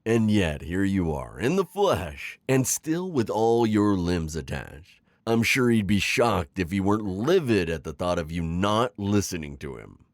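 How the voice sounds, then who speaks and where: A man performs a middle-aged retired magical villain in an intimate ASMR roleplay. His low voice swings dramatically up and down as he coaxes and teases. The delivery is theatrical and sly, recorded very dry. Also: sing-song, measured pace, few pauses, no echo, exaggerated voice. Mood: smooth, feigned sincerity. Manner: theatrical, teasing, manipulative coaxing with sighs and chuckles. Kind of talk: scripted ASMR roleplay acting.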